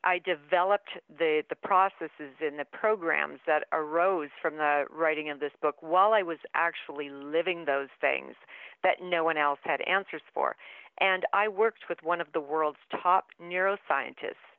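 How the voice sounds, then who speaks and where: A woman author speaks earnestly and with emphasis as a guest over the phone. Her voice is smooth and clear at a middle pitch. The line is narrow and tinny, and the sound is dry. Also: a little slow, no room sound. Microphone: telephone.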